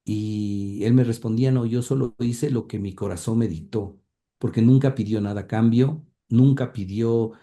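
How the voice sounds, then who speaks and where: A man with an Ecuadorian accent is reminiscing in an interview. His voice sits a little low and is very mellow and dark. He speaks haltingly, often repeating small words as he searches his memory. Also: fairly even intonation. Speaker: interview guest.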